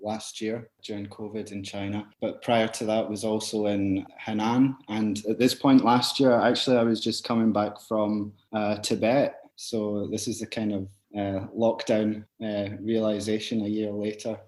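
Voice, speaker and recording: A man's voice: lowish voice, flat delivery, moderate pace, few pauses, darkish tone. A man in his thirties with a Glaswegian Scottish accent, answering interview questions casually and hesitantly. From Glasgow, Scotland. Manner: hesitant, many ums. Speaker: podcast guest.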